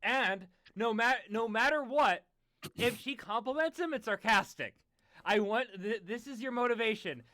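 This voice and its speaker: A man's voice: very high voice, expressive, sharp tone. A man hosting a casual group reading, joking and directing the other readers. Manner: directs the others, quick jokes. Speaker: host running a group reading. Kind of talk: casual riffing chat.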